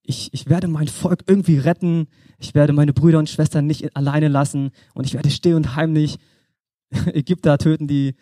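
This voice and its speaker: A man's voice: fairly high pitch, fairly even intonation, moderate pace, dark tone, no echo, clean studio sound. A young man from Germany preaching an informal sermon, talking conversationally and addressing the listener directly.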